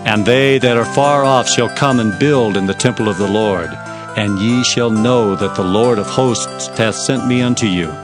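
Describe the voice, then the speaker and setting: An actor playing an earnest Hebrew prophet, narrating visions in a solemn, emphatic, declamatory scripture-drama style. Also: male voice, fairly low pitch, normal speed, natural voice. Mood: proclaiming. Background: music.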